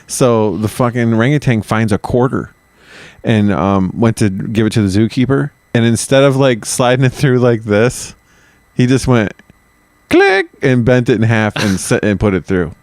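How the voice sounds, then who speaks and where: A man's voice: medium pitch, sing-song, moderate pace, no echo. A man co-hosting a podcast in casual chat, swearing and telling stories with animation. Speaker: podcast co-host.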